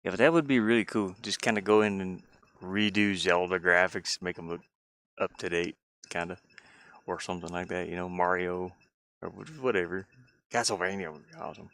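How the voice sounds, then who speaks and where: Male indie developer on stream, deep-ish fry-heavy voice, informal and meandering, trails off mid-thought. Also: steady tone, fairly quick, fairly bright tone. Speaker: indie game developer livestreaming. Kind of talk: casual livestream chat while demoing a game.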